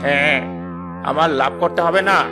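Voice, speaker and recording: A man's voice: a little high, moderate pace, close to an everyday voice. A man voicing a stingy, grumbling village tea seller in a cartoon, curt and scolding. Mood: grudging, snappy. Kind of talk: cartoon dub. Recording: music behind the voice.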